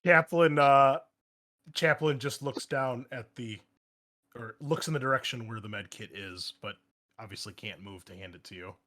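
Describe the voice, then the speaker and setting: A man's voice: mid-range voice, moderate pace, bright, forceful tone. A man speaking as a game master, casually explaining rules and narrating the action with some swearing. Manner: casual, profane.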